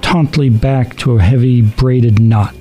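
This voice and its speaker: A man narrating a radio play in a measured, literary storytelling way. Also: medium pitch, fairly even intonation, dark tone, soft background music.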